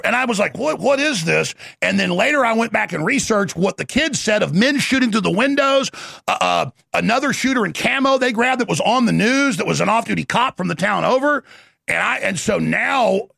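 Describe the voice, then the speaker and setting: A man's voice: high voice, animated, a little fast, gravelly, sharp tone, dry recording, studio quality. A man hosting an interview, ranting in an intense, rambling monologue. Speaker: show host.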